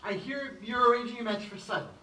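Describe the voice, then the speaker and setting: A young actor playing a poor village tailor, earnest, nervous and halting, in a film drama. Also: male voice, very high voice, bright, forceful tone, exaggerated voice. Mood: timid, eager. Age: young adult. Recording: echoey, distant, noisy film soundtrack.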